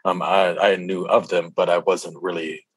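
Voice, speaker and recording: A man from Hawaii, a rapper being interviewed, telling stories in an informal, halting way that often doubles back. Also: deep voice, level tone, slightly rough, dry recording. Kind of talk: casual interview storytelling.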